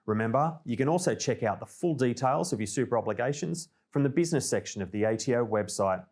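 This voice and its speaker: A man's voice: medium pitch, expressive, fast talker, darkish tone. Australian man presenting scripted business information. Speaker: presenter of a company newsreel.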